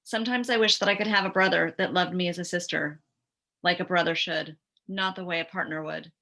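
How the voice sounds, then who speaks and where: A woman with a bright, sharp-edged voice pitched on the low side reads poetry aloud. She is a mentor speaking over a video call. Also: fairly even intonation, brisk. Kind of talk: reading a poem aloud.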